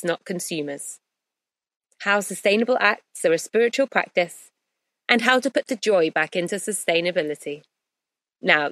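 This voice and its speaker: A woman hosting a podcast who gives a measured, upbeat scripted introduction and then asks interview questions. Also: fairly low pitch, fairly bright tone, no echo. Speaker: podcast host.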